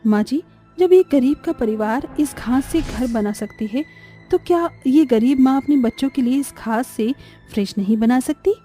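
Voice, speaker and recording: A woman voicing an earnest, pleading poor young mother in an animated story. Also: high-pitched, normal speed, faint music behind, close to an everyday voice.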